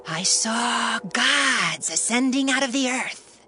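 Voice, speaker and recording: An actress playing a wary, fearful medium of spirits, dramatic and suspicious in a scripture drama. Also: female voice, on the high side, lively intonation, takes it slowly, sharp tone, husky, full character voice. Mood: eerie, hushed. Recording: music bed behind the voice.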